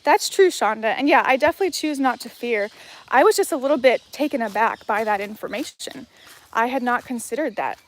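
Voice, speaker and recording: A woman's voice: on the high side, expressive, brisk. A woman from Hawaii on a casual livestream, reading her chat aloud and rambling with lots of fillers and restarts while out on a walk. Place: outdoors on a jungle walk.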